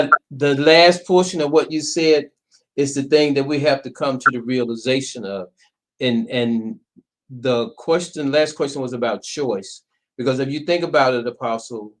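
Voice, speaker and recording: A man hosting a panel discussion, speaking in a measured, reflective way and calling on guests by name. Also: medium pitch. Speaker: host, minister leading panel.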